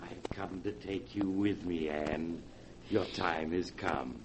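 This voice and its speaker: A man's voice: low-pitched, fairly even intonation. A man playing the solemn, menacing ghost of a dead uncle in a 1940s radio horror drama. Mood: ominous beckoning. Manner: slow, solemn, ominous. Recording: noisy 1940s radio recording.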